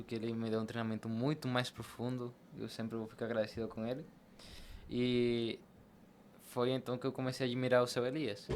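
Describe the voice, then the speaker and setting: Venezuelan-accented man, noisy background. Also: mid-range voice. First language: Spanish. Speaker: podcast guest, barber. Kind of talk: conversational interview answers, personal anecdotes. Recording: clear background noise.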